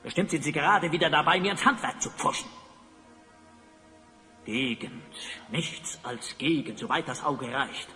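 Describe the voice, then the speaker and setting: An actor playing a pompous, brooding evil sorcerer in a German audio drama, speaking in a theatrical, grandiose way. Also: male voice, fairly high pitch, lively intonation, a little slow, long pauses, bright, forceful tone. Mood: watchful. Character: pompous evil sorcerer, self-styled Master of Time. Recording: long reverb, music underneath.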